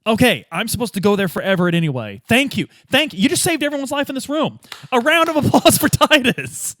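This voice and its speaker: Male tabletop player, higher register, lively swooping delivery, improvising casually in and out of character. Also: a little fast, no room sound, studio quality.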